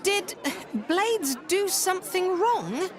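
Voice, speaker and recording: A woman voicing a tiny, childlike, excitable furry creature with squeaky broken speech in a video game. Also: takes it slowly, heavily acted. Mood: puzzled. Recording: loud crowd and music behind.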